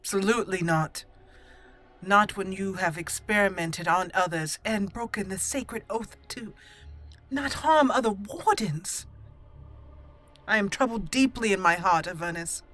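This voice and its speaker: A young woman hosting a let's-play, chatting excitedly through a battle and roleplaying dialogue. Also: medium pitch, a little slow. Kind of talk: casual game commentary and roleplay.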